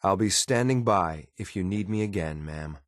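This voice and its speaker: Man as deep-voiced, deadpan android soldier. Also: moderate pace, dry recording, studio quality, natural voice. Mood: dutiful. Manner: formal, clipped, deadpan, deferential yet blunt. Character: cool, loyal, disapproving male android soldier. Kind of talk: video game companion voice lines.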